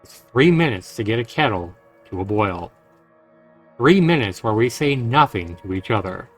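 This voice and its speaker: Guy streaming a visual novel, reads it out and chats earnestly, soft music behind. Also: fairly low pitch, animated, normal speed. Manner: earnest, talking directly to listeners. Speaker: livestream host playing a visual novel. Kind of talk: reading game text aloud with casual commentary. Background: faint game music.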